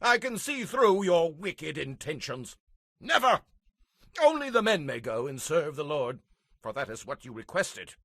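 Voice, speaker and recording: A man plays a haughty, short-tempered king in a Bible drama. His fairly high voice is very animated and swings widely in pitch. The recording is dry. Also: unhurried, no echo, heavily acted. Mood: angry, suspicious. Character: haughty, suspicious, angry male Egyptian king. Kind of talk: audio Bible drama acting.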